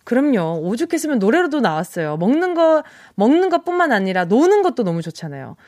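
Bright, lively young woman radio host. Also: on the high side, a little fast, no room sound, clean studio sound. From Busan, South Korea. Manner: bubbly, laughs often, acts out listeners' messages. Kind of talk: casual radio chat reading listener texts. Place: radio studio. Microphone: studio microphone.

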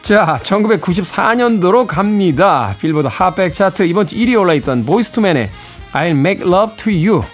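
A man's voice: high voice, animated, moderate pace. A middle-aged man hosting a music radio show, mixing song introductions with casual anecdotes in a wry, self-teasing, conversational manner. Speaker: radio host and DJ.

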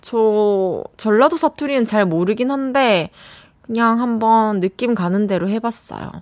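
A woman's voice: a little high, a little slow, no echo. Chatty female radio DJ, dry studio sound. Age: young adult. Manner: chatty, warm reactions to listener texts. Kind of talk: radio show, reading listener messages. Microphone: studio mic.

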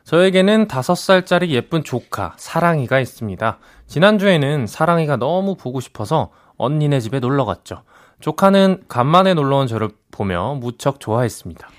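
A man reading listener stories on a radio show and chatting with the host in a calm, easy-going way. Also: on the high side, moderate pace.

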